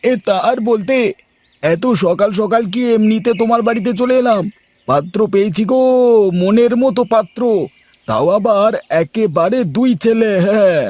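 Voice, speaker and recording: A man playing an eager, chatty village matchmaker in an animated folktale, speaking in boastful exclamations. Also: sits high, moderate pace, dry recording. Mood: eager, pleased.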